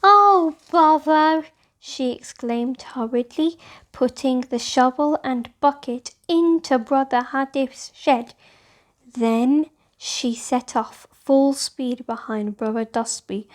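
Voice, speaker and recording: A woman's voice: high-pitched, fairly even intonation, slow pace, warm tone. A woman reading scripted storybook narration for children.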